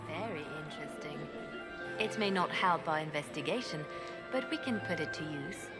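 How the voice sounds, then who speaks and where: A shrewd, sardonic spymaster. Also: female voice, low-pitched, expressive, normal speed. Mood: calm. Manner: cool, knowing, quietly sardonic. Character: shrewd poised female spymaster, dryly scornful. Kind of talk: video game cutscene dialogue. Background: orchestral music.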